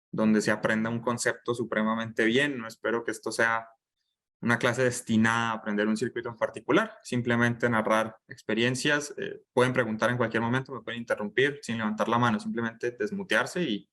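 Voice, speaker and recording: Young colombian guy, guest lecturer doing a relaxed-format online class talk. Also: medium pitch, normal speed. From Bucaramanga, Colombia. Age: young adult. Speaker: guest lecturer, doctoral student.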